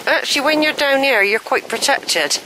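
A woman's voice: sits high, animated, normal speed, heavy background noise. An older woman, chatting and thinking aloud as she films a woodland walk, a little wheezy. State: a bit wheezy. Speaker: walking vlogger. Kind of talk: casual walking commentary. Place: wooded track outdoors. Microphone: handheld camera while walking.

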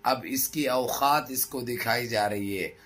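A middle-aged man with a hoarse throat gives impassioned political commentary to his viewers in emphatic, repetitive, rhetorical phrases. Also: mid-range voice, takes it slowly, a bit bright. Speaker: news commentary vlogger. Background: background music.

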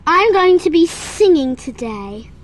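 A self-assured twenty-five-year-old singer, voiced by a woman, introduces herself in a very high, slow voice. Faint hiss behind from a home camera mic. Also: sing-song, full character voice. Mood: confident.

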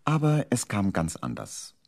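A man with a husky voice narrating a scripted children's story for a radio play. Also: low-pitched, huge pitch swings, measured pace, loud music behind the voice.

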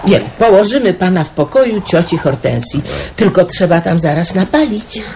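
An actress playing a bustling, plain-spoken country hostess in a radio drama, homely and chatty. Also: female voice, on the low side, expressive, moderate pace, dark tone, a little hiss or hum. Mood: hospitable, bustling.

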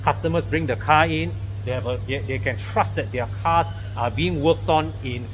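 A man's voice: on the high side, normal speed, soft background music. Malaysian man vlogging in dull phone audio. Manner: frequent agreeing interjections, repeats words. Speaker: vlog host and car wash owner. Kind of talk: casual chat to camera and informal interview. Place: open-sided auto workshop and renovation site. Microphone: phone at arm's length. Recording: dull phone audio, faint workshop noise. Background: faint vehicles and workshop ambience.

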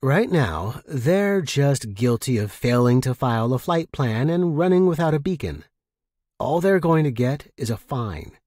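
A man voicing a guarded, resolute space outpost worker in an audio story, measured and blunt. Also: medium pitch, expressive, darkish tone, dry recording, clean studio sound. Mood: calm, calculating.

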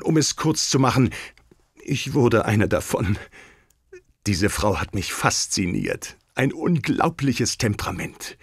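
A proud king in a radio drama. His diction is formal and stately, with a guarded, secretive air. The pitch sits mid-range and the sound is dry. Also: no room sound. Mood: wistful, embarrassed. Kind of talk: German radio play acting.

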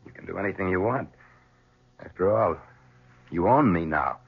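A bitter, guilt-ridden man talks in a low, very husky, rough voice. The sound is a narrow, tinny 1970s radio drama. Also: expressive, moderate pace, heavily acted. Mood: bitter, sullen.

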